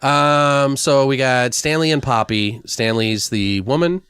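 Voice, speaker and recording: A man's voice: medium pitch, unhurried, a bit bright, clean tone, no echo. A man co-hosting a podcast in casual comedic brainstorming, rambling and joking crudely. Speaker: podcast co-host.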